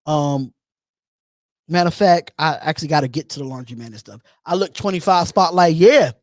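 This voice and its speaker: A man in his thirties hosting a live call-in show on his own, talking casually, repeating phrases and pausing on drawn-out ums while he reads out chat comments. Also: a little high, no room sound, studio quality. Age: 30s. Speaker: host.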